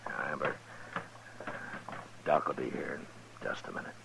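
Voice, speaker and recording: An American actor playing a stern, gruff, commanding frontier marshal in a 1950s radio western. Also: male voice, very deep voice, flat delivery, moderate pace. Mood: hushed, grave. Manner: commanding, blunt. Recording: noisy old radio drama recording.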